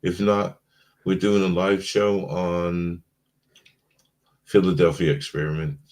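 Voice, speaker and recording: A man co-hosting a casual live-stream chat, drowsy from being stoned, rambling and trailing off in a mellow way. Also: low-pitched, level tone, slow pace. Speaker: podcast co-host.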